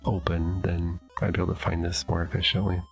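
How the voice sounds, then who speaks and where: Deep gravelly middle-aged man, stuffy. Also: little pitch movement, brisk. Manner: thinking aloud, hesitant, self-correcting. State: stuffy, sniffling. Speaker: let's play host. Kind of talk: casual gameplay commentary. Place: home basement.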